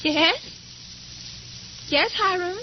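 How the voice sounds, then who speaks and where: An actress playing a frightened, anxious wife in old-time radio suspense style, nervous, hesitant and pleading. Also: female voice, extremely high pitch, extremely slow, full character voice. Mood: uneasy, evasive. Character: frightened, anxious wife trapped with sinister husband. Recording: noisy, hissy, muffled old radio recording.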